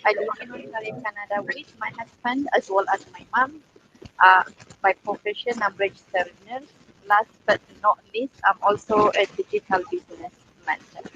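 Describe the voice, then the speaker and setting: A woman's voice: mid-range voice, lively intonation, moderate pace, sharp tone, muffled recording, slight background noise. A woman from Eritrea, a nurse, graciously introducing herself on an online call. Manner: gracious, thanks the host first. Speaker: nurse and business mentor. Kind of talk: short self-introduction.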